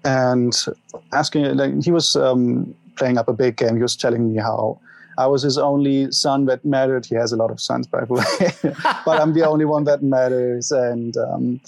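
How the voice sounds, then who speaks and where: A young man from Germany with a German accent, talking openly and haltingly as an interview guest. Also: mid-range voice, fairly even intonation, vocal fry, a little mellow, dry recording, low-quality recording. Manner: open, halting, laughs at himself. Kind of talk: candid personal interview.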